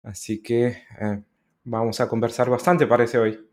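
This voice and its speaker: A man's voice: mid-range voice, little pitch movement, moderate pace, darkish tone. Chatty man. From Central America. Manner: friendly, chatty, laughs easily. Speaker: interview guest. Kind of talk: casual interview chat.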